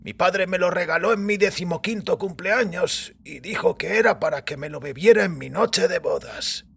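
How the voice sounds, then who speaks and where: A man playing an aging, stubbornly faithful priest with a husky voice and courteous, formal speech. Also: sits high, normal speed, heavily acted. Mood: wistful. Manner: formal, courteous, archaic address.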